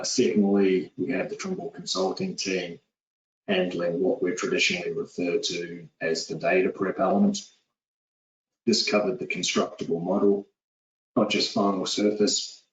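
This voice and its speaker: A man in his forties with a New Zealand accent, giving a steady, businesslike webinar presentation with frequent "uh"s over a call. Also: low voice, slight background noise. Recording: echoey, distant, dull lo-fi audio.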